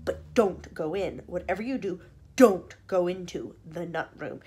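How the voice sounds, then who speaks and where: A woman voicing an eccentric male candy maker who explains things in an animated, insistent way. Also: fairly low pitch, unhurried, slight background noise.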